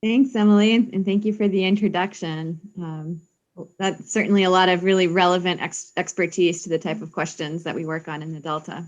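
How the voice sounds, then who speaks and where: A woman's voice: lowish voice, fairly even intonation, fairly quick, muffled recording. A woman leading a public meeting, introducing new staff in a polite, welcoming way over a video call. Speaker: science program lead at a meeting.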